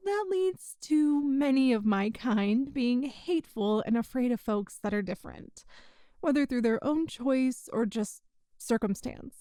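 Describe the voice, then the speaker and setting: A woman playing a kind, earnest human rescuer in an ASMR roleplay, speaking in a gentle, reassuring, folksy way to the listener. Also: on the high side, no room sound, clean studio sound.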